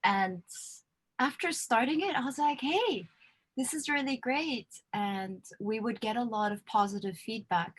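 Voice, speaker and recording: A woman hosting a relaxed live chat show over a video call. She talks in a loose, chatty way, laughs easily and fills her sentences with "like" and "you know". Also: on the high side, measured pace, slightly rough. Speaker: host. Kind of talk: casual livestream interview.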